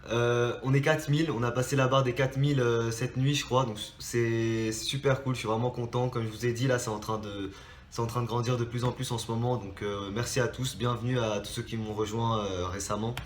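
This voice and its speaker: A young man from France chats to his viewers with a blocked, nasal voice from a cold. He rambles, stops and restarts often, in a middle-pitched voice. The room around him sounds echoey. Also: fairly even intonation, brisk, a little hiss or hum. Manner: rambling, many hesitations and restarts. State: stuffy nose from a cold. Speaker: drawing channel host. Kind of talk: casual chat to subscribers.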